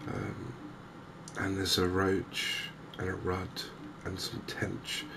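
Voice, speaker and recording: A man giving softly spoken, gentle ASMR game commentary in an unhurried, hesitant way. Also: low voice, big pitch swings, gravelly, background noise. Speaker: host of a cozy gaming channel.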